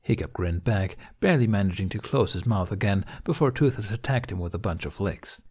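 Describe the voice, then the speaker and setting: Male narrator, lowish pitch, scripted fiction reading, measured storytelling. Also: lively intonation, darkish tone, breathy edge. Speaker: amateur audiobook narrator.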